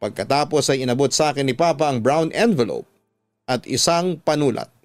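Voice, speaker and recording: A man's voice: medium pitch, lively intonation, a little fast, no echo. A man narrating a dramatic first-person story as the host of a radio drama. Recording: close dry studio sound over music.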